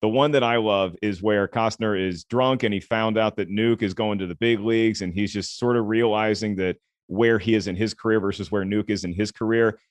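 A man with a deep voice, a film critic chatting as a podcast guest and telling relaxed stories. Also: fairly quick, few pauses, no room sound. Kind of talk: podcast conversation.

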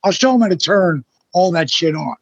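A man in his sixties with a husky voice, a guest telling his story in a blunt, emphatic way with some swearing. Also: sits high, lively intonation, fairly quick, no echo, muffled recording. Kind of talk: casual storytelling in an interview.

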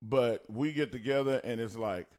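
A man's voice: mid-range voice, moderate pace, darkish tone. A man with a Texas country accent, co-hosting a casual podcast chat and telling stories in an easygoing way. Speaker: podcast co-host.

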